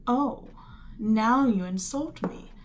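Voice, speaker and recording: A woman voicing a proud, seductive demon queen in a scripted ASMR roleplay, haughty and measured, lapsing into breathy near-whispers. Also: on the high side, a little hiss or hum. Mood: imperious, needling.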